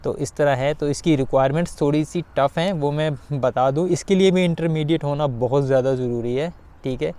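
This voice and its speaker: A man giving an informal explainer to viewers, speaking haltingly with repeated filler phrases. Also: on the high side, dark tone. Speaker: video guide host.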